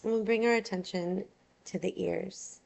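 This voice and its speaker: A woman teaching yoga nidra, guiding a relaxation meditation in a soothing, unhurried, gentle way. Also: mid-range voice, fairly even intonation, gravelly.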